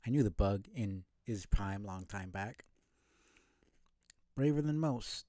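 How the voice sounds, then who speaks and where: Game bug, played by a man: jaded and scornful, folksy blunt talk, dark mellow tone. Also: fairly low pitch, lively intonation, slow, no room sound, studio quality. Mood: wistful, scornful. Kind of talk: video game dialogue read aloud.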